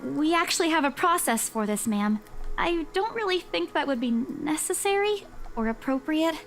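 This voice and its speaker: Shy polite realtor in a visual novel, really high voice, bit hesitant, music under. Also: female voice, expressive, moderate pace. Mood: polite, uneasy. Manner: courteous, hesitant. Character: polite, timid young female real estate agent.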